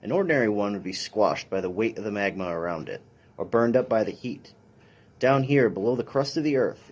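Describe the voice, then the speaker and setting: Casting: man with a lower, husky voice, reading a picture book to children, instructional and a little dry. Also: a little mellow. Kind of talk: read-aloud of a children's science picture book.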